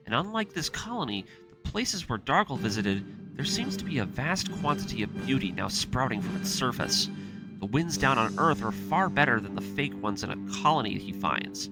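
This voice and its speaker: A man's voice: medium pitch, poor audio. A man reading a scripted story recap as the narrator of a video essay. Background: music.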